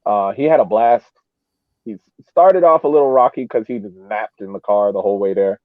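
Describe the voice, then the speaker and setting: A man's voice: fairly low pitch, a little fast, no room sound. A man on a sports podcast panel, chatting and giving casual game analysis over a narrow, muffled connection. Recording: muffled narrow-band radio-like sound.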